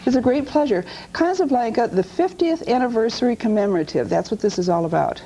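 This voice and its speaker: A woman's voice: a little high, expressive, brisk, mellow, poor audio. A woman hosting a TV talk show, asking her guest interested questions. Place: TV studio.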